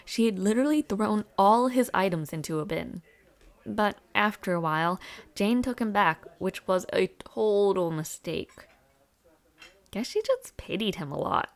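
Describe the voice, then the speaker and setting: Young female college dormmate for audio roleplay, played by a woman: chatty, informal, confiding, slightly hesitant, natural mid-pitched voice. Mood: gossipy. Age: young adult. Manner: gossipy, informal, hesitant, trailing off. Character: friendly, chatty female university student confiding in her dormmate. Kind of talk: casual conversational audio roleplay.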